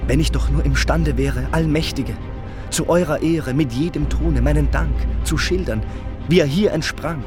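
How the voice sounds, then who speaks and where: An earnest young hero of a radio play, played by a man. He declaims rhymed verse fervently in a voice a little higher than most men's, over clear music. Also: moderate pace, lightly acted. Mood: grateful, longing. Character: earnest, brave, ardent young prince. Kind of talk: German radio drama acting in rhymed verse.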